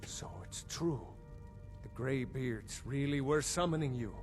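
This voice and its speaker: A man's voice: mid-range voice, measured pace, over music, close to an everyday voice. Man acting a stately, commanding fantasy Jarl. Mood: solemn. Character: grave, commanding male Jarl of a Nordic hold. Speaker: game character. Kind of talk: fantasy game dialogue.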